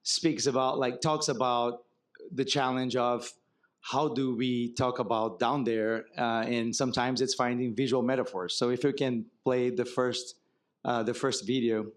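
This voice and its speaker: A man from Brazil with a Brazilian accent speaks as the guest in an onstage fireside chat before an audience. He explains with examples in a relaxed way and often adds a wry, humorous turn. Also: mid-range voice, measured pace. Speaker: marketing executive guest. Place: conference stage before an audience.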